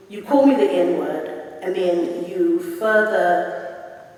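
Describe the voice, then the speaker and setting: A woman in her forties speaks earnestly and emphatically, telling her own story to a meeting. Her voice rings around a large, echoing hall. She sounds set back from the microphone. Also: fairly low pitch. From South Africa. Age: 40s. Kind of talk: personal testimony. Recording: echoey hall, voice from far off.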